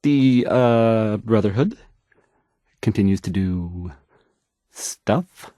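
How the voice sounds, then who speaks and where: An actor playing a nervous, bumbling, insecure male radio DJ in a video game, with a halting, stammering, unsure delivery. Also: on the low side, expressive, mellow, dry recording, clean studio sound. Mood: awkward, unsure. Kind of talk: in-character radio news broadcast, video game voice acting.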